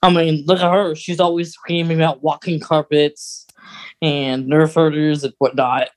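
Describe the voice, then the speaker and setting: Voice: mid-range voice, flat delivery, moderate pace. A podcast co-host talking in a casual, conversational way about fan lore. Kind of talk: casual chat about Star Wars lore.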